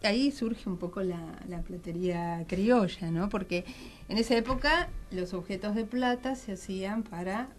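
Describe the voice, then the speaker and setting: A woman's voice: mid-range voice, moderate pace, faint music behind. A woman with an Argentine Rioplatense accent, explaining history and craft conversationally as an enthusiastic storyteller in a radio interview. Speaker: radio show guest. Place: radio studio.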